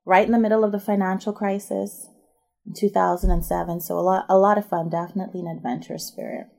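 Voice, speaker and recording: A woman's voice: mid-range voice, little pitch movement, fast talker, gravelly, darkish tone. A woman in her thirties from the Bahamas, a podcast guest explaining her background in an articulate, upbeat way. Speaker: podcast guest, MBA student and barrister. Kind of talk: conversational interview.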